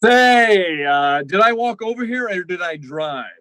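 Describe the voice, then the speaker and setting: An actor playing a sardonic doctor in vintage radio comedy, full of dry insults and prone to exasperated sputtering. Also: male voice, high voice, lively intonation, measured pace, fairly bright tone, dry recording. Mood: dry, wry.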